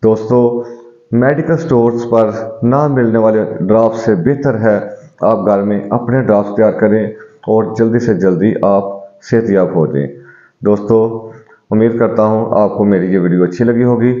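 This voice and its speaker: A man who is a homeopathic doctor, calmly explaining home eye remedies to his viewers and addressing them as friends. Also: fairly low pitch, normal speed. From Pakistan. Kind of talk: health advice video to viewers. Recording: dull, echoey room recording.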